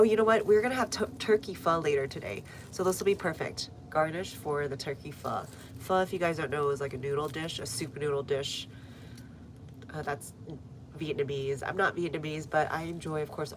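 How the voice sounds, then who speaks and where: Stuffy-nosed low-voiced woman outdoors. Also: background noise. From United States. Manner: chatty, reads viewer comments aloud, frequent fillers. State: stuffy nose. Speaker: gardening livestreamer. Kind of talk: casual live-stream chat. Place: outdoor front garden.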